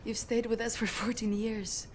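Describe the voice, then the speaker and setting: An actress playing a gentle, earnest Polish woman in a video game, speaking soft, halting English with a Polish accent. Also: a little high, level tone, slight background noise, lightly acted.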